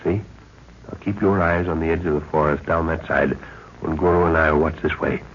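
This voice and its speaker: An actor playing a seasoned, calm and authoritative jungle expedition leader in a 1930s radio adventure serial. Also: male voice, extremely low pitch. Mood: calm, cautious. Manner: authoritative, deliberate, clipped orders. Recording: scratchy, muffled 1930s radio recording.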